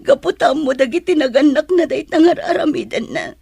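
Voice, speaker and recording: A woman's voice: high-pitched, lively intonation, fairly quick, vocal fry, a little mellow, no echo, clean studio sound. A woman playing a long-suffering, betrayed mother in an emotional, plaintive radio drama style. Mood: tearful, anguished. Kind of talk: Philippine radio drama acting.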